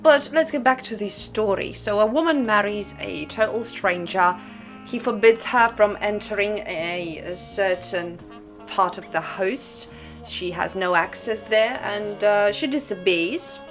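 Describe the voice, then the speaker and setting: A woman's voice: medium pitch, measured pace, fairly bright tone, faint music behind. A woman hosting a commentary on disturbing books, speaking in a dramatic, conversational way straight to the viewer with rhetorical questions. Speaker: host of a disturbing literature channel. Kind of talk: casual scripted commentary to camera.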